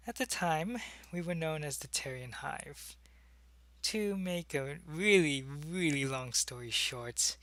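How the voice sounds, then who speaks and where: A man acts out a loyal alien changeling spy in an intimate audio roleplay, with a gravelly edge and a bright, forceful tone. The delivery is conversational and halting, like someone confiding in one listener. The sound is very dry. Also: mid-range voice, no echo. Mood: explaining, casual. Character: alien changeling spy posing as human, loyal, secretive, soldierly. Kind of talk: ASMR roleplay addressed to the listener.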